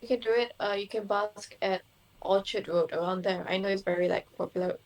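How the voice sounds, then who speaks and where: A woman's voice: mid-range voice, steady tone, a little fast. A young woman co-hosting a podcast, chatting casually and earnestly and laughing easily. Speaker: podcast co-host. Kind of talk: casual chat.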